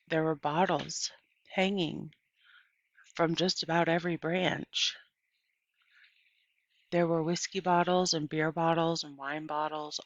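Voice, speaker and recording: A woman narrates a first-person story at an unhurried pace. Her voice has noticeable fry at a medium pitch. It is a dry, slightly dull recording of read-aloud fiction. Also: fairly bright tone, no echo. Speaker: audiobook reader.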